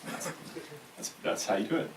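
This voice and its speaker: An American man from California speaking casually and hesitantly as a game developer on a panel. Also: moderate pace. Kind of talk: casual self-introduction at a panel. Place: live talk event in a room. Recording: echoey room, distant mic, faint noise.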